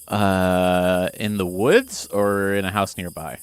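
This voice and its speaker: A man's voice: low voice, fairly even intonation, slow, clean tone, no room sound. A man playing a tabletop role-playing game, improvising casually and thinking aloud in informal language.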